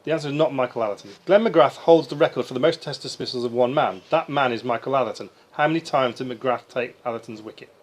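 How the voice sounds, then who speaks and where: A man's voice: mid-range voice, fast, few pauses. A man reading quiz questions aloud as quizmaster in a live contest. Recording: faint background noise.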